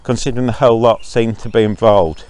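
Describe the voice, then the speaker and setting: A man's voice: mid-range voice, fairly even intonation, quick pace, darkish tone, no echo. A man from Zambia hosting his own podcast, giving his opinions in a rambling monologue with frequent ums and restarts while outdoors walking his dogs. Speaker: podcast host.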